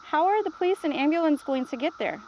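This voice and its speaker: A worried, inquisitive young child in a storybook, as read aloud by a woman. The voice is high and full of questions, and there is slight background noise. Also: animated, fast, a little mellow, poor audio. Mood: worried, curious. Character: anxious, inquisitive young grandchild starting kindergarten.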